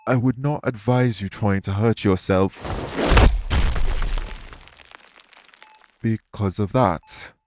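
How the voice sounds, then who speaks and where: Man voicing a low-voiced, courteous elf, noisy recording. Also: natural voice. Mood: urgent, soothing. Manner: formal, measured, reassuring. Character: calm, courteous winged male elf, guilty and patient. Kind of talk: immersive audio roleplay, addressing the listener.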